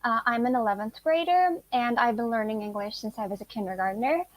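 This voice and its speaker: A woman's voice: a little high, brisk, no room sound. A sixteen-year-old girl whose first language is Turkish, answering interview questions casually and filling her speech with "like" and "you know". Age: teens. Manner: chatty, lots of "like" and "you know". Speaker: guest, high school student.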